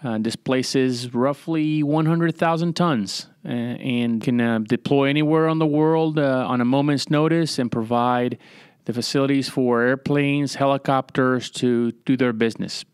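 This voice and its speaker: A middle-aged man from Puerto Rico, a former Navy captain, giving measured, matter-of-fact answers as a podcast guest with frequent pauses and "uh" fillers. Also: mid-range voice, steady tone, clean tone, no echo, studio quality. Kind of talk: conversational interview answers.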